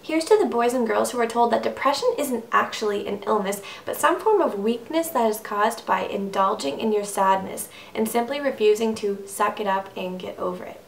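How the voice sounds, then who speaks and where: A woman's voice: medium pitch, creaky. Young woman reciting slam poetry, roomy distant recording. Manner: earnest, emphatic, rhythmic rhyming delivery. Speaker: slam poet. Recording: roomy, distant mic, faint noise.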